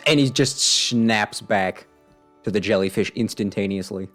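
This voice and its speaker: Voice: low-pitched, unhurried, a bit bright, faint music behind. Playful streamer with dry sarcasm. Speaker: game streamer. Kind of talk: casual live gameplay commentary.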